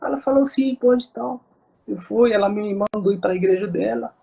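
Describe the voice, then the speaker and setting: A man's voice: sits high, normal speed, gravelly, dark tone, husky, poor audio. A Moroccan man speaking with a Moroccan accent, an interview guest talking casually with many fillers and restarts.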